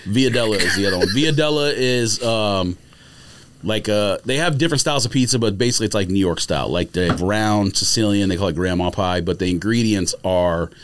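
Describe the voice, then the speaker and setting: A man's voice: lowish voice, fairly quick, slight background noise. A man in casual podcast conversation, explaining things and giving his opinions in a conversational, explanatory way. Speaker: podcast panelist. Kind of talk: casual food chat. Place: podcast studio.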